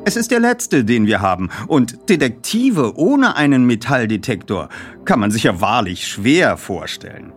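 A man's voice: mid-range voice, big pitch swings, moderate pace, faint music behind, lightly acted. A man voicing a chatty, matter-of-fact female shop assistant in an audiobook.

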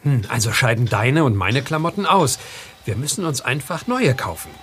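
A man's voice: medium pitch, very animated, normal speed, fairly bright tone, a little hiss or hum. An actor playing an earnest, cautious young man who explains things carefully in a German audio drama. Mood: planning.

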